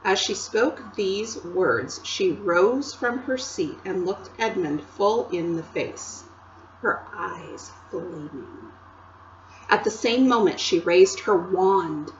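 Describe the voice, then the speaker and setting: A woman teacher reads a chapter book aloud in a slow, unhurried way. Her voice rises and falls a great deal as she tells the story, adding chatty asides to her young listeners. The recording is dull and lo-fi, with a faint hum behind her. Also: on the low side, animated. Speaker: teacher reading to children.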